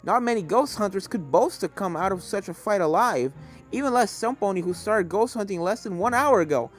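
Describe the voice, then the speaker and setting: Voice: mid-range voice, lively intonation, brisk, lightly acted. Scholarly male storybook professor played by the reader, formal, explanatory, kindly yet serious, faint music behind. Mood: impressed, proud.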